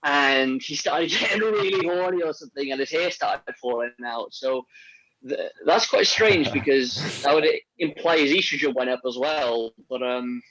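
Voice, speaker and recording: A man's voice: fairly high pitch, normal speed, fairly bright tone, muffled recording, slight background noise. A man chatting casually about health supplements, rambling with many restarts and fillers. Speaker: podcast guest on men's health. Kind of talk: casual conversation.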